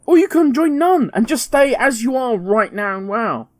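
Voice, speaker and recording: A man, a gaming commentator, musing aloud in a casual, conversational way, thinking out loud with fillers. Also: very high voice, very animated, normal speed, no echo, clean studio sound. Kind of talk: casual speculative monologue.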